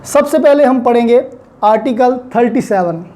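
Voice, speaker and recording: A man's voice: extremely high pitch, moderate pace, mellow. A man with a Pakistani accent giving an explanatory law lecture in a didactic way, repeating key points and mixing in English terms.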